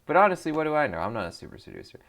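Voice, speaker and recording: A man's voice: a little high, sing-song, rapid-fire, fairly bright tone. A man hosting a let's play, reading the choices aloud and joking about them with frequent laughs. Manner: jokey, reads options aloud, laughs often.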